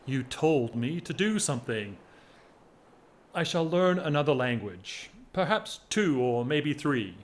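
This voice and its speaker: An actor playing a weary, lovelorn upper-class gentleman in a period audio drama, speaking with formal, wistful wryness. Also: mid-range voice, lively intonation, close to an everyday voice. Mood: restrained, wistful. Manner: formal, wistful, wry, measured speech. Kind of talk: stage-style period radio drama acting.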